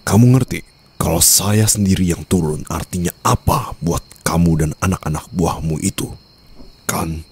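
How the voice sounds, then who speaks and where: Casting: male actor as a domineering mafia boss for ASMR roleplay, extremely low and gravelly, cold, deliberate, teasing. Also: a little mellow, full character voice. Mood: cold, threatening. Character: cold, commanding young-to-middle-aged male mafia boss, teasing new husband. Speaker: roleplay voice performer.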